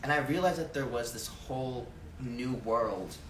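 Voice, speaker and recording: A man's voice: medium pitch, expressive, moderate pace, recorded from a distance. A young man with an American accent talks casually and expressively as a guest on a live stream. He sits some way from the mic in an echoey room, with a faint hiss behind him. His manner is informal and exclamatory. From Chicago area, United States. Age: young adult. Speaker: interview guest. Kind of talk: casual chat. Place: indoor room, live social media stream.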